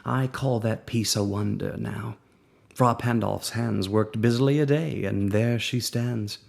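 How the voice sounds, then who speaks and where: Guy doing a cold proud duke, kinda low and gravelly, slow then sudden whispery bits. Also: a little mellow. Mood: coldly proud. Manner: measured, dramatic, with sudden rises to near whispers. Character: proud, coldly jealous aristocratic duke. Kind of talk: dramatic monologue reading.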